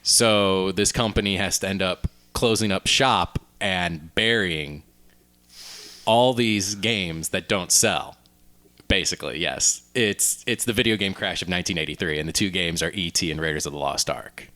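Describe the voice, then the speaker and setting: A man co-hosting a podcast, chatting informally and telling his ideas in a halting way. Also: sits low, bright, forceful tone. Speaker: podcast co-host. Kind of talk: casual chat, pitching ideas among friends.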